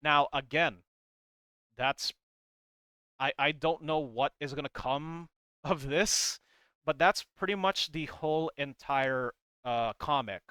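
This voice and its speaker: A man with a bright, crisp voice talks through game lore in a casual explainer style. His delivery rambles and hedges as he works through his commentary. The sound is dry, with hardly any room on it. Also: on the high side, slow pace, no room sound. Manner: rambling, hedging, reads comic text aloud between comments.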